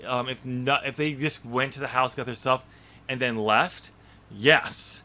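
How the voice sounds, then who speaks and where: Guy hosting a podcast, bright tense voice, chatty and laughing, lots of uh and um. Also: medium pitch, a little slow. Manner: chatty, laughs often, rambling with many fillers. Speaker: podcast host and author. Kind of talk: casual chat about his novel draft.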